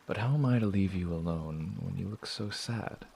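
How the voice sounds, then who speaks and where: A man voicing a sly traveling performer who teases and probes with smooth talk in an audio drama. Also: deep voice, normal speed, a little mellow, a little hiss or hum. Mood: teasing, prying. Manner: teasing, probing, smooth-talking. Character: sly prying male traveling performer, teasing.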